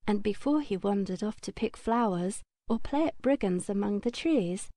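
A woman reads a scripted children's story as narrator. Her voice is mellow and a little high, with gentle, measured phrasing. The sound is dry, with no room echo. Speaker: audiobook narrator.

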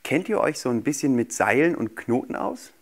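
A man's voice: medium pitch, expressive, normal speed. A man in his thirties speaking casually and playfully as a magician showing tricks to children. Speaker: magician, show guest. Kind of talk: casual demonstration patter for children. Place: small furnished TV studio set, indoors.

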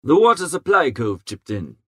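A man narrates a comic story with very animated intonation and a fairly high voice. His delivery is chatty and wry, like an idle gentleman telling an anecdote. The recording is close and dry. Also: normal speed, breathy edge, no echo. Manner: chatty, wry upper-class idler's phrasing. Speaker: audiobook narrator. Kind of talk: scripted first-person comic story narration.

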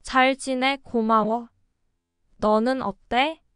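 A woman reading quick short prompts before each phrase in a language lesson. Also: a little high, steady tone, fairly bright tone, no room sound, clean studio sound. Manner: crisp, quick prompt delivery. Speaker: phrase reader giving Korean prompts.

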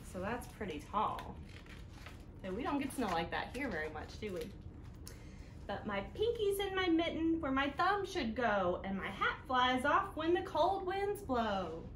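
A woman hosting a children's storytime, reading aloud in an animated, sing-song way that speaks directly to little listeners. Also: a little high, a little slow. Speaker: children's storytime host at a library. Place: living room indoors, seated on a couch. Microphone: camera a few feet away. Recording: roomy home sound with noticeable background noise.

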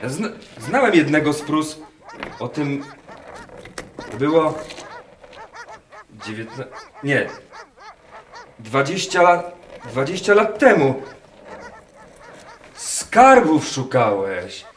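An actor in a radio play voicing a condescending German camp officer who collects birds, halting and musing between commands. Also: male voice, a little high, sing-song, a little hiss or hum, heavily acted. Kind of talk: radio drama acting. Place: wooden barn, live performance. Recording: roomy barn sound with music behind. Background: music.

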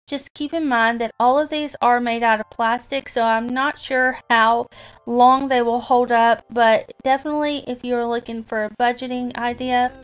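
A woman's voice: a little high, steady tone, normal speed, clear, resonant tone, rough recording. A woman in her thirties with a Southern US accent, chatting casually about her shopping haul in a parked car. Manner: chatty, friendly, addresses viewers as y'all. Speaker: vlogger. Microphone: camera on dashboard.

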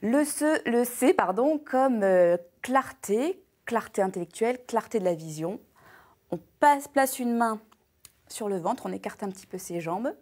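A woman's voice: fairly high pitch, unhurried. Upbeat woman explaining on morning TV. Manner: clear, upbeat explainer, lists items with steady rhythm. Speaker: health and wellness columnist. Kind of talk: morning TV segment, explaining and demonstrating. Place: bright TV studio. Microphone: lapel mic.

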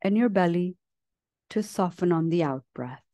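A woman leading a guided meditation as a teacher, speaking gently and leaving long, unhurried pauses between phrases. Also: fairly low pitch, lively intonation, no room sound. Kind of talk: guided meditation, slow soothing instruction.

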